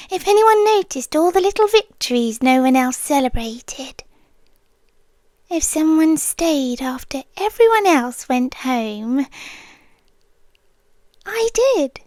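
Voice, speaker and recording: A British woman voicing a possessive, devoted guardian angel in a soft, breathy, close-mic ASMR roleplay that often drops into a whisper. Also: sits high, lively intonation, no room sound. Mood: tender. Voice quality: breathy, intimate, drifting into whispers. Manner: slow, tender, quietly obsessive. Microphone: close mic, dry sound.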